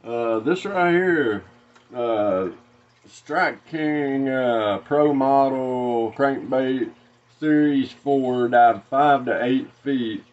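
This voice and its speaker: Slow, rambling Southern man from Arkansas. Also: medium pitch, a little mellow, lo-fi sound. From Arkansas, USA. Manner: slow, rambling, many "uh" fillers and restarts. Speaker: fishing channel host. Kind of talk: casual unboxing vlog. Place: small room lined with fishing tackle, indoors.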